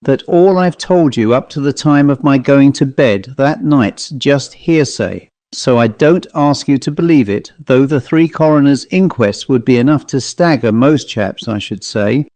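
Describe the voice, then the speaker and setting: Guy doing a haunted salesman telling a ghost story, chatty but dry, middle pitch. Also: lively intonation, a little slow, few pauses, darkish tone, dry recording. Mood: confiding. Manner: dry, conversational, colloquial. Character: haunted male commercial traveller, plain-spoken teller of grim tales. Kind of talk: dramatised storybook monologue.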